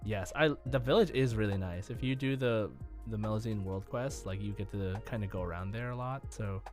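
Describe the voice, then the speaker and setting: A man hosting a casual gaming podcast, chatting in a halting, rambling way. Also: on the low side, over music. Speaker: podcast host.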